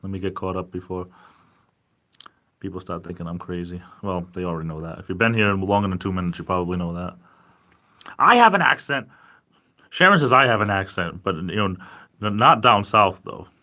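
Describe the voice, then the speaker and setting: A man's voice: low-pitched, lively intonation, fairly quick, long pauses, pushed and bright. A man from Rhode Island with a Rhode Island accent, chatting casually and rambling self-deprecatingly as he hosts a livestream from home. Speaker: livestream host.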